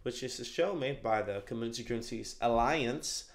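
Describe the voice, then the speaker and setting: Young man, twenties, host, casual, gravelly, headset. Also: medium pitch, normal speed. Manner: casual, filler-heavy, upbeat. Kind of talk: show intro and interviewing. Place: small attic room indoors.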